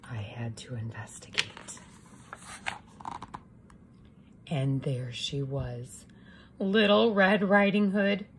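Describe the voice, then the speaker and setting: Sly big bad wolf, performed by a woman, self-justifying and mock-innocent, animated, unhurried picture-book delivery. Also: mid-range voice, husky, heavily acted. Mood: sneaky, tempted. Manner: theatrical, mock-innocent storytelling. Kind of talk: children's picture book read-aloud.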